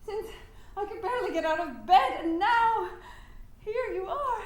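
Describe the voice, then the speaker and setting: A woman's voice: extremely high pitch, animated, measured pace. A woman playing a passionate, headstrong suffrage leader, effusive and emotional, in a staged play reading. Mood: effusive, adoring. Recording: echoey room, faint background noise.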